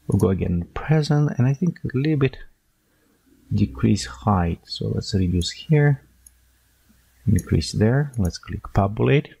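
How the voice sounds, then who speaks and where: Low gravelly man walking through software. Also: no echo. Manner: unhurried, thinking aloud while clicking. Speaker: software tutorial instructor. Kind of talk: screen-recorded software tutorial.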